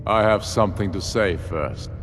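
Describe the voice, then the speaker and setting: An actor playing a proud, belligerent rebel warlord, indignant and commanding, in a fantasy game. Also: male voice, fairly low pitch, normal speed, a bit bright, lightly acted. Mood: stern. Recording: long hall reverb, faint music.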